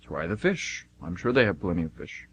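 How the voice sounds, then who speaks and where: An actor playing God as an easygoing, punning, folksy man with a husky voice, in an amateur radio comedy. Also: deep voice, sing-song, moderate pace, dull audio, full character voice. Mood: hesitant.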